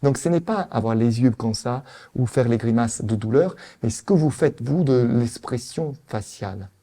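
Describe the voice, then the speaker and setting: Mellow-voiced man with an Italian accent. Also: mid-range voice, normal speed. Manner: animated, rhetorical questions, emphatic repetitions. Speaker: veterinary anesthesiologist lecturer. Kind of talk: conference lecture.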